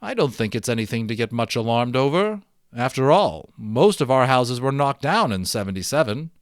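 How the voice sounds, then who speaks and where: A man's voice: mid-range voice, big pitch swings, normal speed, no echo, clean studio sound. A man voicing a commanding male island leader in a storybook, emphatic and authoritative. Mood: calm, dismissive. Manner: emphatic, authoritative, projecting orders. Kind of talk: dramatic storybook reading.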